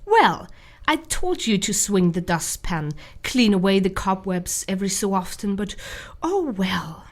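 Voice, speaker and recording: A woman's voice: medium pitch, sing-song, measured pace. A woman playing a sociable, teasing Gothic wife in a radio drama, chatty and chiding. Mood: wistful, defensive.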